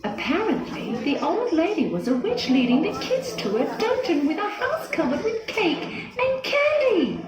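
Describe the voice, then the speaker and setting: A woman narrating a scripted fairy tale for children in an expressive, dramatic storytelling manner. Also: very high-pitched, normal speed, a crowd in the background. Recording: echoey, music under the voice.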